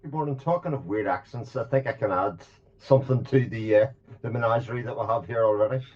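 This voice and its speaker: A man's voice: medium pitch, fast talker. A man with a Northern Irish accent, a guest chatting casually on a podcast over a video call, self-mocking and laughing often. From Northern Ireland. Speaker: guest youtuber. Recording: muffled lo-fi audio with reverb.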